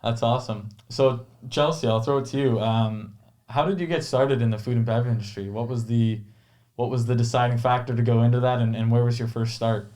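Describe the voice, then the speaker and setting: Brief: male host, easy banter, mid-pitched, studio interview. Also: steady tone, a little mellow. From Newmarket, Canada. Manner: friendly, joking, quick to agree. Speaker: host, newspaper publisher. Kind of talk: casual podcast interview.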